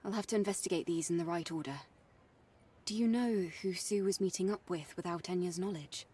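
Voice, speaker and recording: Composed game heroine, slightly distant. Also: female voice, lowish voice, brisk, dark tone, breathy edge. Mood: serious. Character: composed, earnest young female warrior, calmly reassuring. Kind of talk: video game voice acting. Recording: slightly distant, music underneath. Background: faint music.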